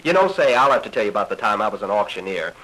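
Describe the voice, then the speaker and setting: Male American comic monologist, 1940s party record. Bright, forceful delivery, lively pitch, folksy and crude. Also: rapid-fire, poor audio. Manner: folksy, crude, conversational storytelling. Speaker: comedian telling bawdy stories. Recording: old 1940s 78 rpm record.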